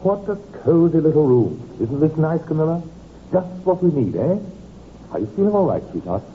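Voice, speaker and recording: An actor playing a cheerful, persuasive English husband in a 1950s radio crime drama, with a hearty, coaxing, genteel manner. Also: male voice, on the high side, sing-song, moderate pace. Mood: genial, solicitous. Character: cheerful, charming middle-aged husband, smoothly persuasive with women. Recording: scratchy, tinny old radio recording.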